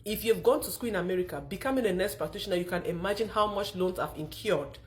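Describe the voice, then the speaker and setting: A middle-aged woman with a Cameroonian accent gives a motivational testimonial pitch, speaking emphatically with repeated phrases. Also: quick pace. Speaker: online marketer telling her own story.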